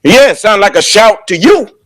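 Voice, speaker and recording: A man preaching a sermon at a prayer service in a loud, booming voice, driving his points home with rhetorical questions. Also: very animated, normal speed.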